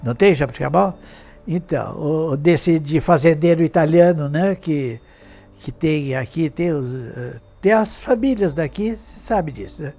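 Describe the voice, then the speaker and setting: An elderly man in his eighties, from Brazil, with a notably high voice, recalls his family in a meandering way, restarting sentences and checking in with tag questions. Faint music sits behind him. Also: measured pace, dark tone. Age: 80s. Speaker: interviewed artist and fashion designer. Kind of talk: reminiscing in an interview.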